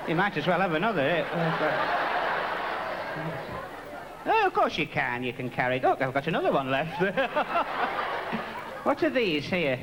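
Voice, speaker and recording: A man playing a pantomime dame, fussing over a child on stage and handing out sweets. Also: a little high. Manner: coaxing, fussing, animated with children. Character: kindly pantomime dame, middle-aged woman. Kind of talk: live stage comedy. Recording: noisy archive stage recording.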